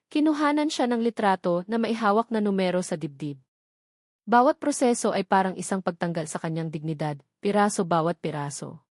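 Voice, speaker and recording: A woman's voice: medium pitch, a little mellow, no echo. Filipino woman narrating a drama, dry sound. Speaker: story narrator. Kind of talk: scripted dramatic narration of a romance drama story.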